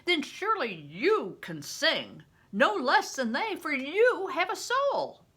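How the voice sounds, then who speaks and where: An older woman voicing a big, blustering, roughly kind male travelling performer, emphatic, gruff and teasing, in a storybook reading. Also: high voice, very animated, takes it slowly, a bit bright, voice pushed up, full character voice. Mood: teasing, insistent.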